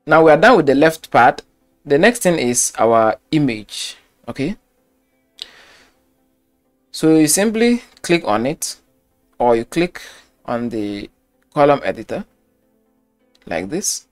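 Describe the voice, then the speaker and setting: Man, tutorial narrator, unhurried delivery, faint music underneath, methodical and repetitive. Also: on the high side, big pitch swings. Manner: slow step-by-step instruction, repeats phrases. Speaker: web design tutor. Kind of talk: screen-recorded software tutorial.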